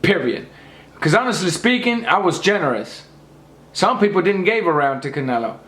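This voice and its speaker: A man's voice: high voice, big pitch swings, normal speed, a bit bright, roomy sound. A man losing his voice, ranting emphatically about boxing and lecturing his viewers. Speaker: boxing commentator. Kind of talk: opinionated rant to camera.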